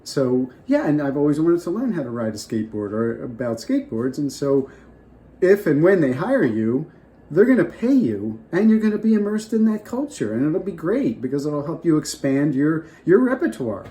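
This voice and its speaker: An older man gives advice straight to his viewers, talking off the top of his head. His voice is mellow and relaxed, a little off from a lingering cold, and he circles back and restarts his sentences often. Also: on the high side, normal speed. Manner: rambling, restarts often, off the cuff. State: getting over a cold. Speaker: vlogger. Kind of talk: casual advice talk to camera.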